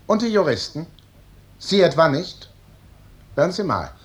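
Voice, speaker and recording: Sardonic professor, highly animated pitch. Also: male voice, unhurried. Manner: sardonic, lecturing. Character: senior medical professor, stern, sardonic hospital chief. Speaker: chief physician. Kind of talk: 1950s German radio drama acting.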